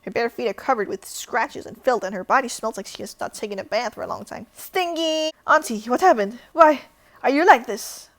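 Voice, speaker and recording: A woman's voice: a little high, normal speed. A young woman streamer reading a story game aloud, reacting dramatically with shrieks.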